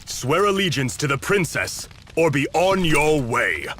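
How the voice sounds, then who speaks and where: An actor playing a stern, fiercely loyal warrior in a video game, terse, solemn and blunt. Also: male voice, fairly high pitch, sing-song, measured pace, fairly bright tone. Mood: stern, scolding. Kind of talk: video game cutscene acting. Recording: noisy game audio with background ambience.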